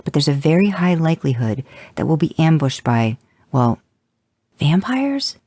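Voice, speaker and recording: A man's voice: a little high, big pitch swings, unhurried, dark tone, no room sound, voice pushed up, full character voice. A man doing an earnest younger hunter.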